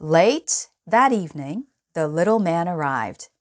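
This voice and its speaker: A woman, a native Korean speaker, reading a children's story aloud bilingually in an expressive, deliberate storytelling manner. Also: on the low side. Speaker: storybook reader and translator.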